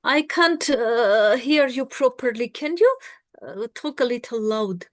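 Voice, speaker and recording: Slow, halting woman lecturing over a video call. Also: on the high side, lively intonation, no room sound, clean studio sound. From Armenia. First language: Armenian. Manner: slow, halting with many fillers. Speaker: guest lecturer. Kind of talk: online history lecture.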